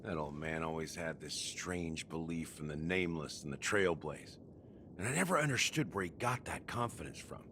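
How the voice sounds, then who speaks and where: A man voicing a cynical, weary, rough-edged security officer in a video game, with a sardonic, theatrical delivery. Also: rumbling low, lively intonation, moderate pace, pushed and bright, slight background noise, heavily acted. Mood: musing.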